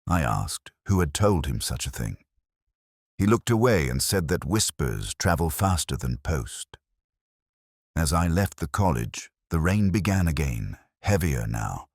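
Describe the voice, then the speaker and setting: A man playing a brooding, world-weary police inspector who narrates his case in a measured, sombre, wry literary style. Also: very deep voice, big pitch swings, dry recording, clean studio sound, full character voice. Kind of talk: literary first-person detective drama narration.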